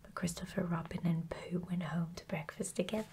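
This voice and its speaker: A woman reading a children's bedtime story in a hushed, gentle, unhurried ASMR whisper. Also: fairly low pitch, flat delivery, background noise.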